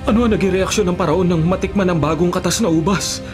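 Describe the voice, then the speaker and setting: A man's voice: high-pitched, fast talker, over music, lightly acted. An actor playing an earnest, devout young servant man in a Filipino radio drama, respectful and heightened in delivery. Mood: earnest, solemn.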